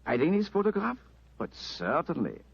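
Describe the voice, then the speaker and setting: A man acting a pompous, courtly king with a formal, halting delivery, in old-time radio drama style. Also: a little high, huge pitch swings, gravelly, husky, full character voice. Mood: relieved, gracious. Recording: dull lo-fi radio recording.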